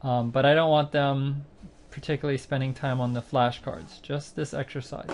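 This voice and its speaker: A man narrating a screencast tutorial, explaining a music training website step by step in a plain, unhurried way with occasional fillers. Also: medium pitch, flat delivery, a little mellow, a little hiss or hum. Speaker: site instructor demonstrating software.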